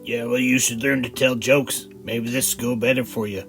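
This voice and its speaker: A man plays an abusive male heckler in a comedy vlog. The voice is gravelly and hard-edged, full of sneering contempt and profanity, with faint guitar music behind. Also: medium pitch, flat delivery, normal speed. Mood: contemptuous. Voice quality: gravelly, forceful. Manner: scornful, profane, insulting.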